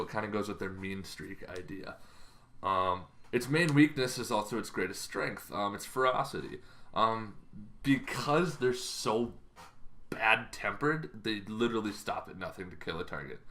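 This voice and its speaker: A man with a fairly low but notably bright, forceful voice presents an informal explainer. He speaks off the cuff as a host, rambling a little and feeling his way through each point. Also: normal speed. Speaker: YouTube host giving game lore advice.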